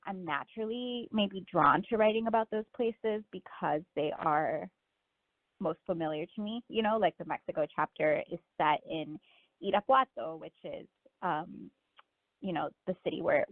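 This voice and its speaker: A woman's voice: lowish voice, moderate pace, no echo. A woman from Miami speaking casually and reflectively as a guest author in an interview, often saying "like" and "you know.". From Miami, United States. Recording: narrow phone-line sound.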